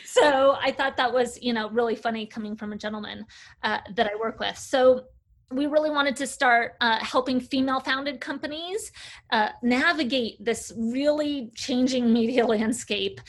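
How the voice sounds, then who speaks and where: Chatty woman with a high voice. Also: steady tone, moderate pace. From United States. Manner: chatty, fluent storyteller with filler "uh"s. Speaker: agency CEO, guest. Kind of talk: casual interview talk.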